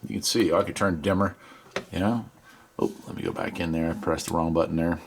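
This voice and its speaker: A man with an American accent and a fairly low voice presents a hobby gadget demonstration. He talks in a casual, folksy way, as if thinking out loud while he works through it. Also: steady tone, a little fast, vocal fry, a little hiss or hum. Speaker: radio hobbyist video presenter.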